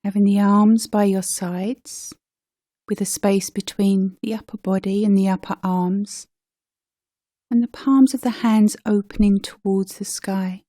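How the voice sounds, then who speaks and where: Slow, mellow-voiced woman guiding meditation. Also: medium pitch, steady tone, no echo, studio quality. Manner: gentle, unhurried, pausing between short phrases. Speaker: meditation teacher. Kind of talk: guided meditation narration.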